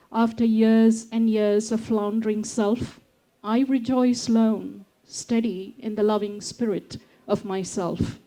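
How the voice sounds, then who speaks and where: Female poet from India, bright-pitched but mellow, measured reader, halting speaker. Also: rough recording. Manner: measured recitation, then hesitant chat with many fillers. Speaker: poet on a festival panel. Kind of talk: poetry reading then panel talk.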